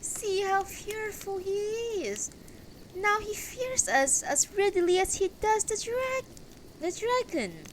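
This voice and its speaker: A woman's voice: very high voice, animated, slow pace, a little hiss or hum, pitched above the performer's own voice, full character voice, poor audio. A woman voicing one of a band of jeering, accusing ghostly widows in rags, with a parched, taunting delivery in a storybook reading. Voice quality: raspy, parched. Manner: taunting, lamenting, declamatory.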